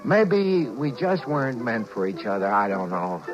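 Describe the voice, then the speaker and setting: Wry, fed-up radio husband, animated. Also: male voice, medium pitch, unhurried, over music. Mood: wry, resigned. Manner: wry, sardonic, colloquial. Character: fed-up, henpecked husband telling his own story, wry and exasperated. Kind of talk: 1950s radio drama acting with first-person narration. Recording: dull 1950s radio recording.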